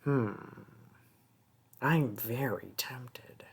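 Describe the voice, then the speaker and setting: A performer voicing a small, sneaky, mocking goblin thief in a fantasy audio roleplay, taunting and haggling in a husky, breathy voice that often drops to a whisper. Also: mid-range voice, full character voice. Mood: haggling, teasing. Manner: taunting, haggling, drawn-out wheedling.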